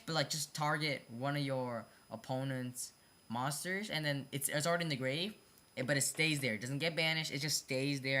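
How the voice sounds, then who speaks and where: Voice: medium pitch, pushed and bright. Someone giving a casual, off-the-cuff explanation of card game designs, often repeating and correcting themselves and apologizing for mistakes. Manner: repetitive, self-correcting, stumbles over phrasing; apologetic about own mistakes. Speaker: hobby card game video creator.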